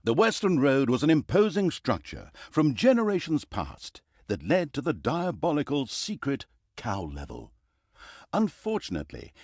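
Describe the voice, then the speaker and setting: An actor playing a sardonic, theatrical storyteller, narrating a comic fantasy video game in a wry, mock-grand way. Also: male voice, mid-range voice, darkish tone, no room sound, studio quality. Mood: dryly mocking.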